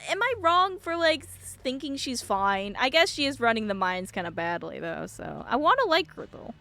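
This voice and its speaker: A woman's voice: sits high, big pitch swings, normal speed, pushed and bright. A woman doing casual live let's play commentary as a game streamer, playful and reactive, swearing freely. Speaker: game streamer reading dialogue aloud.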